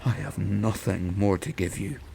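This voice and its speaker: A man's voice: fairly low pitch, measured pace, creaky, mellow, a little hiss or hum. An actor playing a bitter, proud king in an audio drama, brooding and intense, with music behind him. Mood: bitter, defiant. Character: bitter, proud young king hungry for godlike power.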